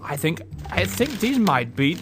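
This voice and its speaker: A man's voice: high-pitched, big pitch swings, unhurried, a bit bright, soft background music, exaggerated voice. A man playing a hesitant, bookish older academic, earnest and stammering, in a comic audio adventure. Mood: hesitant, intrigued. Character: fussy, hesitant older male academic, keen hieroglyph scholar.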